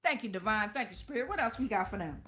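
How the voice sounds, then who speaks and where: A woman's voice: mid-range voice, animated, fast, a bit bright. An American woman giving a casual live tarot reading in a slangy, profane, rambling way. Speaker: tarot reader, channel host.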